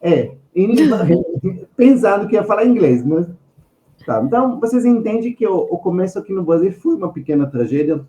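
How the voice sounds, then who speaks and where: Higher-pitched man with an Israeli accent. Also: very animated, normal speed, warm tone, echoey room, rough recording. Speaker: guest finance expert. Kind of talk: conversational podcast storytelling and advice.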